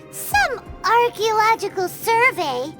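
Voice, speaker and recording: An actress voicing a tiny, chirpy, childlike companion in a fantasy video game. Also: female voice, very high-pitched, animated, slow, music in the background, heavily acted. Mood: curious. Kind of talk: scripted video game voice acting.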